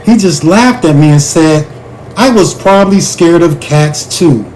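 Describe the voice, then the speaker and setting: A man reading a children's chapter book aloud in an animated, expressive way, speaking directly to the listener. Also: on the high side, measured pace, slight background noise, poor audio.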